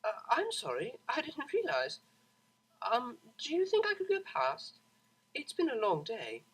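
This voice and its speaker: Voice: medium pitch, huge pitch swings, measured pace, fairly bright tone, husky, pitched above the performer's own voice, heavily acted, poor audio. A performer voicing a refined, awkwardly polite and impatient young mare in an audiobook. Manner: polite, prim, exasperated under courtesy. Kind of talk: audiobook character voice.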